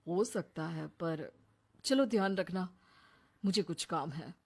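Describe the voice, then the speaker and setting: A mild young husband in a cartoon family drama, voiced by a man. He speaks quietly and gently, in an unassuming way. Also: sits high, expressive, slow pace, gravelly, heavily acted. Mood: concerned. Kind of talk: acted Hindi cartoon family story.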